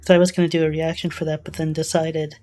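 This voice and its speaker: A woman's voice: low voice, flat delivery, quick pace, dark tone. A woman giving casual, off-the-cuff commentary as host of a reaction video, musing tentatively as she thinks aloud.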